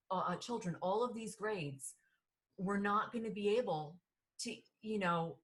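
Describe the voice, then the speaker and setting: A woman with a New Jersey accent, hosting a podcast in a rambling casual chat full of restarts and fillers. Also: mid-range voice. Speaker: podcast host.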